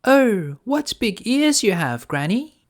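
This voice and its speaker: Man doing a high-pitched, innocent little storybook girl. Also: big pitch swings, slow, heavily acted. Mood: wondering. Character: sweet, trusting little girl in a fairy tale.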